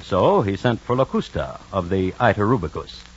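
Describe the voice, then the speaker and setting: A man narrating an old-time radio crime drama in a scripted, deliberate style with dry, wry asides. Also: on the low side, big pitch swings, slight background noise. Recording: dull vintage radio recording. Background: music.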